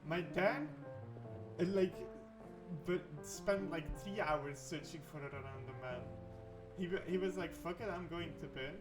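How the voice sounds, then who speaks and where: Higher-pitched, excitable male host. Loud music plays behind him. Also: rough recording. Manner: excitable, quick to gasp and exclaim. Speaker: podcast host. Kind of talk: casual interview chat.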